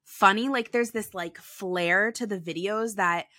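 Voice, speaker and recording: A woman's voice: mid-range voice, measured pace, bright, forceful tone, dry recording, clean studio sound. A woman hosting a podcast, talking in a chatty, upbeat way with lots of fillers. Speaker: podcast host. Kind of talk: casual podcast chat.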